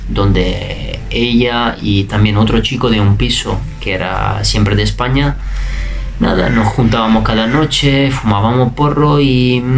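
Young Italian man, lower voice, easygoing interviewee feeling his way through Spanish, filmed in a bedroom with faint noise behind. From Italy. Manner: relaxed, searching for words in a second language. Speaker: interviewee, waiter. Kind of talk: casual interview answers. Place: bedroom indoors.

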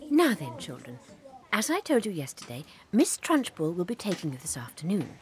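Lively schoolteacher. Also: female voice, lowish voice, moderate pace, soft background music. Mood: cautioning. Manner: clear instructions, gently cautioning. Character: kindly, orderly young woman schoolteacher. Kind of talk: dramatized audiobook acting.

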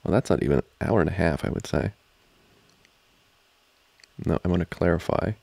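A man playing an amorous, giggly student boyfriend in an intimate ASMR roleplay, talking haltingly and teasingly close to the mic. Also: sits low, creaky, no echo, heavily acted. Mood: playful, mildly protesting. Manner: halting, soft-spoken, chuckling, teasing. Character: amorous, giggly young male student boyfriend trying to study. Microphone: close microphone, dry.

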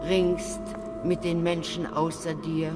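A man's voice: on the high side, level tone, over loud music. An actor playing an aged, sorrowful, motherly wise woman in a radio drama, speaking solemnly like an oracle. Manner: slow, solemn, oracular. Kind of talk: literary radio drama acting.

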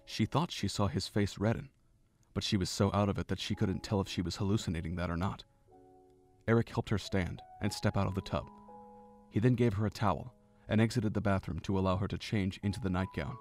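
A man reads the story's narration in a somewhat low, measured voice. His delivery stays even and steady. Soft music plays faintly underneath.

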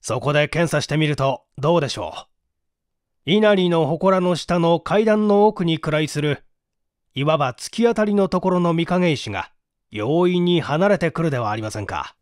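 A man reading a mystery story as dramatic narration, with measured, theatrical phrasing and deliberate pauses. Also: a little high, animated, no room sound, clean studio sound. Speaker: audiobook reader and small-theatre actor.

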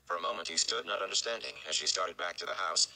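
A man's voice: sits low, fast talker, creaky, sharp tone, smooth, poor audio. A man in his thirties giving a dramatic audiobook-style narration of a fantasy novel. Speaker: read-along reader. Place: indoor room at home.

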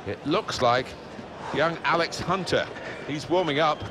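A man's voice: on the high side, measured pace. A man giving broadcast football match commentary for a video game. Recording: loud stadium crowd, very noisy.

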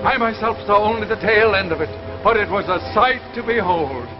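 A dull old TV soundtrack carries an actor as an excitable, self-important doctor with a passion for the stars. His voice is very high for a man, exclamatory and repetitive in 1950s drama style. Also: fairly quick, music in the background, lightly acted. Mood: thrilled, boastful. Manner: exclamatory, repeats himself. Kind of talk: 1950s live television drama acting. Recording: muffled 1950s television soundtrack.

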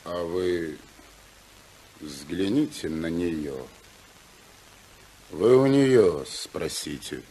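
Slow, husky, sly informer. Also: male voice, low voice, animated, mellow, heavily acted. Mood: sly, insinuating. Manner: hesitant, sly, deliberate. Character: evasive, insinuating male informer, falsely reluctant. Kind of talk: 1950s Soviet radio drama acting.

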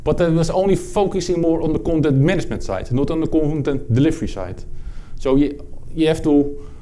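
A man from the Netherlands with a Dutch accent, presenting a technical product talk at a conference, halting, with many fillers and restarts. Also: a little high, dark tone. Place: conference room with audience.

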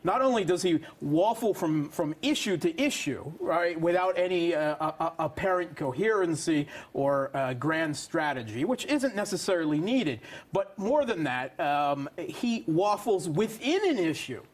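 High-pitched man in emphatic political debate. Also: expressive. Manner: halting, emphatic, scornful. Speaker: panelist, foreign affairs analyst. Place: TV studio at a round desk.

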